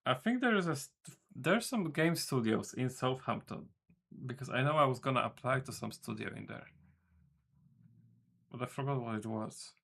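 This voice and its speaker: A man with a gravelly, creaky voice sits at his desk mic and chats loosely with his stream viewers. His pitch sits squarely in the middle, and he rambles, restarts his sentences and drops swear words without a thought. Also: animated, normal speed, fairly bright tone. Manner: rambling, self-correcting, casual swearing. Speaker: game developer streaming. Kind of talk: casual chat with stream viewers. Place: home room.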